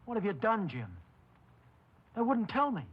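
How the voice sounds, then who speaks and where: An actor playing an anxious, pleading friend of a killer, urgent and agitated, in a 1950s radio crime drama. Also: male voice, high-pitched, wildly swooping pitch, a little slow, slightly rough, exaggerated voice. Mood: anxious alarm. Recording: dull, noisy 1950s radio recording.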